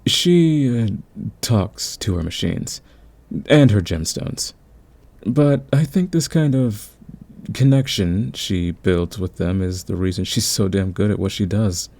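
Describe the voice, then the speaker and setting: A man playing a calm, considerate leader of a thief crew, speaking politely in an audio roleplay. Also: on the low side, slow pace, vocal fry, full character voice. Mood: admiring, calm. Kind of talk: ASMR audio roleplay acting.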